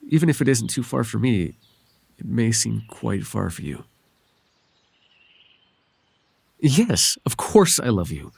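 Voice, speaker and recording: A man voicing a gentle, devoted and bashful winged fairy in an ASMR roleplay, speaking tenderly and hesitantly in formal, old-fashioned phrases. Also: medium pitch, big pitch swings, moderate pace, vocal fry, slightly rough, dry recording, full character voice. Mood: tender, unsure. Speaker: voice actor.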